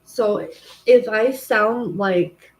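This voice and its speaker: A woman's voice: medium pitch, very slow, poor audio. A woman tarot reader chatting casually on a livestream, rambling and correcting herself often, with a commanding voice. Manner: rambling, self-correcting, frequent "like" and "um".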